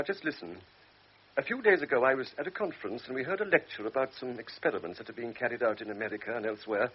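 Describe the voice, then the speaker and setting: A man's voice: medium pitch, dull audio, slight background noise, natural voice. A man playing a blunt, cynical middle-aged doctor in a radio drama, talking in a brusque, lecturing, world-weary way.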